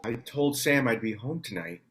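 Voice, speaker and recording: A man's voice: medium pitch, lively intonation, normal speed, fairly bright tone, a little hiss or hum, close to an everyday voice. A man at a table read playing a worried, weary man surviving a disaster. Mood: strained, worried.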